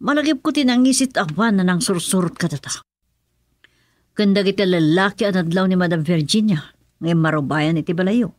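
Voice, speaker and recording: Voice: medium pitch, lively intonation, normal speed, dry recording, close to an everyday voice. An actor playing a respectful aide in an Ilocano radio drama. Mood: reporting.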